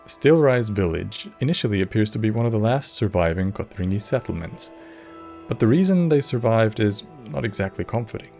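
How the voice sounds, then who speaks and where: A man's voice: on the low side, animated, fairly quick, vocal fry, a little mellow, soft background music. A man narrating as host of a video essay, scripted with casual asides.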